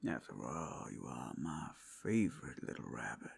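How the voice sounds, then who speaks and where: A man voicing a flirtatious, sly male werewolf in an ASMR roleplay, with teasing, drawn-out asides. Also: rumbling low, very animated, gravelly, husky, full character voice. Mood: flirtatious, wistful.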